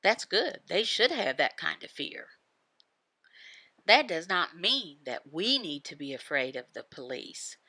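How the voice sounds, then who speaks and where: Slow, creaky-voiced woman teaching a devotional. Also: lowish voice, sing-song, sharp tone, dry recording. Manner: halting short phrases, rhetorical questions answered by herself. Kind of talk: devotional teaching voicing a signed sermon.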